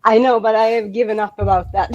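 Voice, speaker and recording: A woman with a Swedish accent, a native Swedish speaker, chatting casually on a livestream, halting, with many fillers and restarts. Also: a little high, a little mellow, muffled recording. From Sweden. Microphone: headset.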